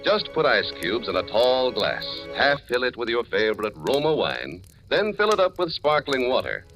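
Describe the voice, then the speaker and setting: A man reading a 1940s radio advertisement as a genial, emphatic pitchman on an old recording. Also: big pitch swings, normal speed, music in the background. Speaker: commercial pitchman.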